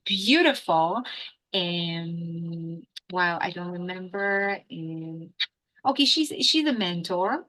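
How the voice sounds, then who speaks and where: A woman from Israel with an Israeli accent, an English teacher chatting as a guest in an interview, animated and full of fillers and restarts. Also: fairly low pitch, rough recording. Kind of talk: casual interview chat.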